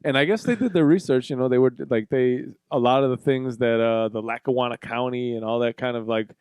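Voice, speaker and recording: A man's voice: mid-range voice, brisk, darkish tone, clean tone, no echo, clean studio sound. A man co-hosting a podcast in casual, rambling banter, playful and swearing casually. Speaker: podcast co-host.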